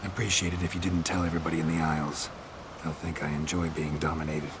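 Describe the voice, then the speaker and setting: Very deep, stoic monster hunter. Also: male voice, fast talker, noisy background. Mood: dry, wry. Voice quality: low, gravelly. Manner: deadpan, terse. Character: gruff, stoic male monster hunter with dry humour. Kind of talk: video game voice acting.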